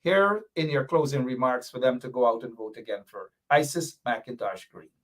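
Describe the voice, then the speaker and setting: A man's voice: mid-range voice, moderate pace. A male talk show host wrapping up with a guest, loose and filler-heavy, calling on viewers by name. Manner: rambling, lots of fillers, calls out viewers by name. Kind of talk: casual talk show hosting.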